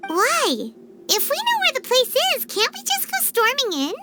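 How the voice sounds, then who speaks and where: A woman voicing a tiny, childlike fairy companion in a video game, squeaky, eager and naively inquisitive. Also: wildly swooping pitch, moderate pace, over loud music, full character voice. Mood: eager, puzzled. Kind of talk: video game cutscene voice acting.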